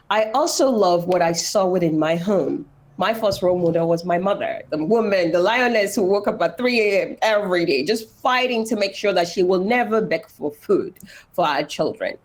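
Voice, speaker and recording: A woman's voice: on the low side, a little mellow. A woman in her thirties from Nigeria with a Nigerian accent, telling her life story earnestly as an interview guest. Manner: earnest, emphatic, repeats phrases for weight.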